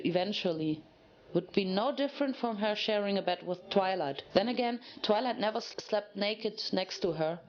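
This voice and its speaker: A woman's voice: medium pitch, lo-fi sound. A woman reading a story aloud as narrator, in a dramatic scripted style.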